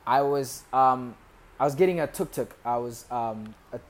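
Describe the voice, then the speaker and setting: A young American man, a podcast guest, casually telling animated stories with jokes and laughs. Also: mid-range voice, normal speed. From United States. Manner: animated, joking, laughs through his stories. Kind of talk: casual conversational storytelling.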